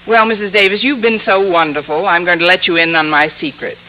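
Wry, bright-voiced wisecracking schoolteacher. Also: female voice, on the low side, steady tone, fast talker, muffled recording. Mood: dry, confiding. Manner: dry, sardonic comic timing. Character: wry, wisecracking woman English teacher, sardonic and quick-witted. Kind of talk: 1940s-50s radio sitcom narration and acting. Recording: hissy vintage radio recording.

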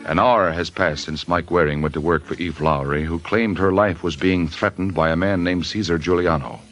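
A man's voice: low voice, normal speed. A man giving dramatic scripted narration as the announcer of an old-time radio detective show. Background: organ music.

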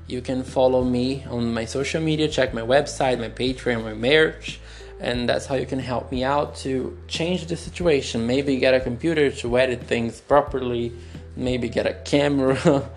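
A man's voice: medium pitch, steady tone, soft background music. A young Brazilian man with a Brazilian accent, a non-native English speaker, telling a personal story on his podcast in a candid, searching way, recorded on a phone. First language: Portuguese. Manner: slow, searching, candid, with pauses and restarts. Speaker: podcast host.

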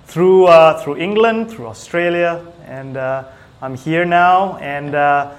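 A man from Singapore chatting casually as an interview guest, with hesitant, halting phrasing. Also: on the high side. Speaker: small business owner, IT technician, interview guest.

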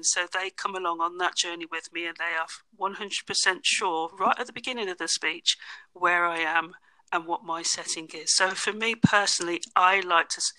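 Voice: mid-range voice, bright, forceful tone, dry recording, low-quality recording. A guest on a podcast discussion, speaking emphatically about personal experience.

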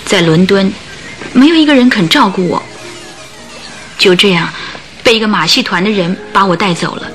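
A woman's voice: mid-range voice, animated, normal speed, long pauses, fairly bright tone, faint music behind, natural voice. An actress in a radio play voicing a bitter, sorrowful circus trainer's wife, telling her hard life in a plaintive, dramatic way with sighs. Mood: sorrowful, reminiscing.

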